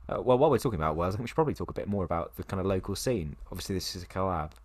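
A man co-hosting a casual podcast, asking quick questions with a few hesitations. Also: sits low, lively intonation, darkish tone. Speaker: podcast co-host.

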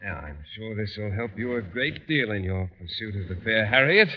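A man's voice: on the low side, lively intonation, moderate pace, natural voice. A man playing an earnest, bashful, mildly formal biology teacher in an old radio sitcom. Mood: bashful, kindly. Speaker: actor in radio comedy. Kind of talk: 1940s-50s radio sitcom acting. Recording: dull old radio recording.

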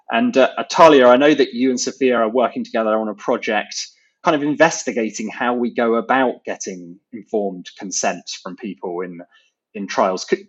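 A man hosting an academic interview, speaking hesitantly and filling pauses with "uh" and "you know.". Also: lowish voice, slightly rough. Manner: hesitant, many fillers. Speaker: podcast host. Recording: dull lo-fi audio.

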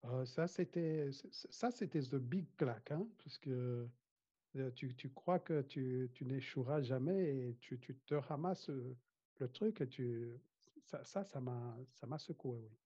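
A man's voice: medium pitch, unhurried, dark tone, slightly rough. A man from Rwanda who grew up speaking Kinyarwanda, talking casually as an interview guest, hesitant, with many restarts and frequent laughs. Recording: dull lo-fi sound.

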